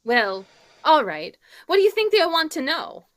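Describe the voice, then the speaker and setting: A flustered lady suspect acted by a woman in a vintage radio whodunit, quick to take offense and defend herself. Her voice is very high and sharply bright. It swoops around with exaggerated indignation. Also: big pitch swings, heavily acted. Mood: airy, evasive. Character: flustered, defensive New York female murder suspect, indignant. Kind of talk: old-time radio mystery script reading.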